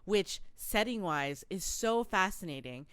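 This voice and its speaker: A woman co-hosting a travel podcast in casual chat, telling stories in an animated way with plenty of fillers. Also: medium pitch, measured pace, clean tone, no room sound. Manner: chatty, animated storytelling with many fillers. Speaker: podcast co-host.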